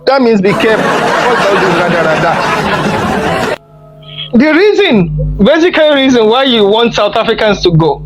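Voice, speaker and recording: A woman's voice: a little high, sing-song, moderate pace, long pauses, music in the background, a crowd in the background, poor audio. A woman from Nigeria with a Nigerian accent, ranting sarcastically and teasingly on a social media livestream. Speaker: livestream participant. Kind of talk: mocking rant on a social media live.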